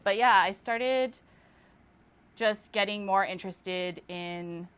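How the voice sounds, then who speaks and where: A woman's voice: mid-range voice, takes it slowly, clear, resonant tone. A young woman artist from the Philippines and Toronto, chatting casually as an interview guest with plenty of "um" and "like". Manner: relaxed, lots of fillers and likes.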